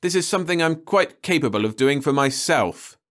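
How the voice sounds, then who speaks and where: A man voicing an indignant, defiant young man who snaps back angrily in slow, drawn-out retorts. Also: fairly high pitch, animated, a bit bright, pitched above the performer's own voice.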